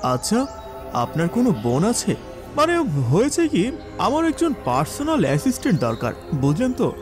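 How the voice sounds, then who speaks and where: A man acts out an arrogant, sleazy office boss from a cartoon thriller. His voice is high for a man and swings widely as he condescends and scolds. Music plays clearly behind him. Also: huge pitch swings, moderate pace. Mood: sly, oily. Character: sleazy, arrogant, bullying male boss taking a job interview. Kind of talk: Bengali animated thriller acting.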